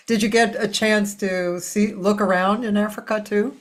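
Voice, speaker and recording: A woman's voice: mid-range voice, fairly even intonation, slow. An older woman with an American accent, hosting a casual conversational interview. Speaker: interview host.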